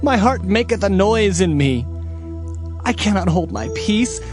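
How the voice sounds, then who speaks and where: A man's voice: sits high, expressive, unhurried, music in the background. A man playing an anguished Hebrew prophet in a dramatized scripture reading, lamenting and pleading with theatrical emphasis.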